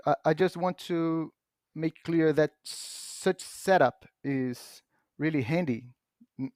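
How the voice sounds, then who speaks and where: Portuguese-native man, podcast guest, patient and halting, dry recording. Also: mid-range voice, darkish tone, dry recording. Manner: patient, halting with frequent restarts and fillers. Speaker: podcast guest explaining software. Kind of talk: casual explanatory chat.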